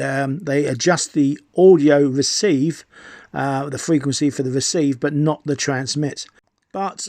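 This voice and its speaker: Higher-voiced middle-aged man reviewing gear. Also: darkish tone, no room sound. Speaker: video presenter. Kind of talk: enthusiast equipment review.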